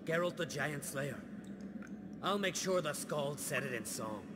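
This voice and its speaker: A man's voice: fairly high pitch, animated, a little slow, very noisy. A man playing a hearty, blunt warrior leader in a video game, with earthy, colloquial speech. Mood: rueful, grateful. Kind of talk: video game cutscene acting. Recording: loud music behind.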